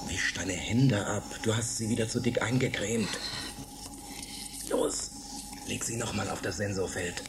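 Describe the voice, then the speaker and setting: An actor playing a grumpy older man in a radio play, gruff and grumbling. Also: on the low side, measured pace, pauses often, noisy background.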